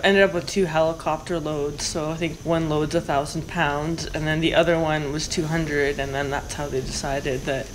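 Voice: medium pitch, flat delivery, moderate pace, few pauses, slight background noise, poor audio. An expedition member plainly describing the logistics of a trip in a documentary interview.

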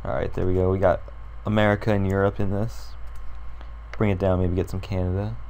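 A young man with a sore, hoarse throat and a deep, low voice talks through a computer design tutorial. He rambles and thinks aloud with plenty of ums and uhs while he clicks along. A faint hiss sits under the recording. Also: flat delivery, fairly quick, darkish tone. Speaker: tutorial creator.

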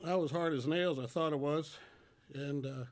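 A man in his sixties speaks at a church lectern, his voice a little high for a man. He tells his personal testimony in homespun, halting phrases, plainly and without polish. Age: 60s. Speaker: church member giving testimony. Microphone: microphone on a stand.

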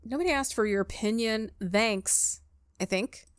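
A woman's voice: fairly high pitch, a little slow, smooth, no echo, clean studio sound, natural voice. A woman playing a skeptical, rattled college student in a visual novel, blunt and wary.

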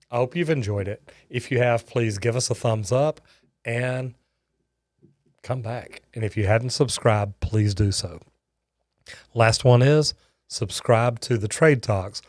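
An older man hosting a casual livestream, folksy and friendly, on a close boom mic in a small studio. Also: no room sound. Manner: folksy, thanks viewers by name. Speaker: host. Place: studio with shelves.

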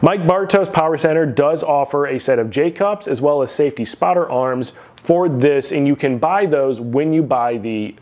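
A man's voice: on the high side, moderate pace, few pauses, darkish tone. A man in his thirties, seated in a garage gym, giving a detailed, plain-spoken equipment review to camera.

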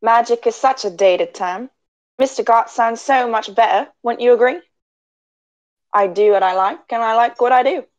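A woman acting a high, proud young warrior woman. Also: moderate pace, highs cut off, lightly acted. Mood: smug. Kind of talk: character voice acting audition.

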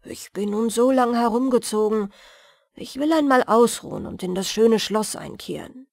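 A woman's voice: on the high side, lively intonation, normal speed, vocal fry, no room sound, studio quality. A woman reading the part of an adventurous, earnest young huntsman in a fairy tale. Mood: musing. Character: young male huntsman, adventurous, lovestruck and eager.

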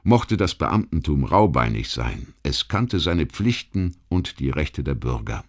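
A man narrating a history documentary audiobook, reading a literary text in a measured, articulate way with rhetorical emphasis. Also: very deep voice, very animated. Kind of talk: scripted documentary history narration, read aloud.

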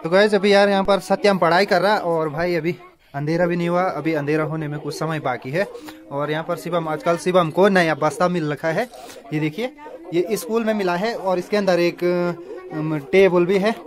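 A man's voice: sits high, fairly even intonation, normal speed, crowd noise. Young man vlogging chattily in a village. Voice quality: chatty, keeps saying "bhai". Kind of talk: casual vlog narration. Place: outdoors in a mountain village.

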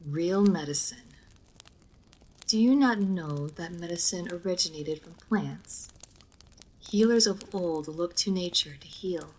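A reserved noble vampire lady played by a woman speaks in a low voice with measured, formal phrasing. She is guarded and melancholy, as in an intimate roleplay audio drama. There is audible noise behind her. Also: darkish tone, lightly acted, poor audio. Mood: somber, formal.

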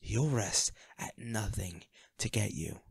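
A man playing a devoted, protective bodyguard with a dark past in an intimate audio roleplay, speaking earnestly and gravely. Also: on the low side, lively intonation, takes it slowly, no room sound, heavily acted. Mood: grave, determined.

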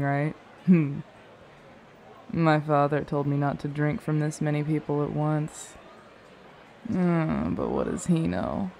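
A woman playing a haughty, predatory young vampire on a drugged high in an audio roleplay, languid and taunting. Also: darkish tone, full character voice. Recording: clear background noise and ambience.

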